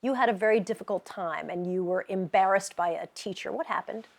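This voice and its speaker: A woman's voice: mid-range voice, brisk, vocal fry. A woman interviewer hosting a broadcast panel, putting questions to guests. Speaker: interviewer, host.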